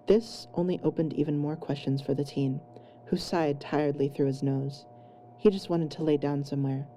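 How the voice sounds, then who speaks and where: A woman's voice: low-pitched, dark tone. A woman narrator reading scripted fan fiction as an audio story. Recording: faint background noise and ambience.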